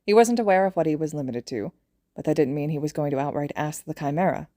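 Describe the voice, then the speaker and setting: Woman reading fanfic aloud, mellow lowish voice, scripted narration, really dry close sound. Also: quick pace, no echo. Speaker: audio fan fiction narrator.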